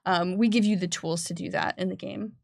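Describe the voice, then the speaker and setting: A woman's voice: lowish voice, steady tone, brisk, gravelly, dry recording, clean studio sound. A woman who works as a game designer, hosting a casual panel discussion and explaining her ideas in a conversational way.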